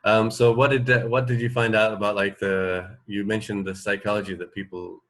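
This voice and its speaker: A man co-hosting a radio show in casual chat, thinking aloud in a halting way. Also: lowish voice, flat delivery, fairly bright tone.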